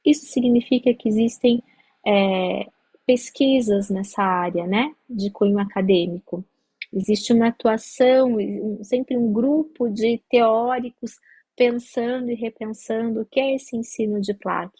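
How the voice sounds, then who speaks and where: Brazilian woman, academic lecturer, husky tone, dry close recording, explains in a patient, halting way. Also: fairly high pitch, warm tone, no room sound, poor audio. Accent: Brazilian Portuguese. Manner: explanatory, many fillers and restarts. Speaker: lecturer on teaching Portuguese to migrants. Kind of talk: academic talk at a meeting.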